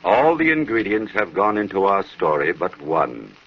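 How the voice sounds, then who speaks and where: An actor playing a grave, ominous narrator of a gothic horror tale on old-time radio, deliberate and portentous. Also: male voice, deep voice, very animated, breathy edge, full character voice. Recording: muffled, hissy old radio broadcast.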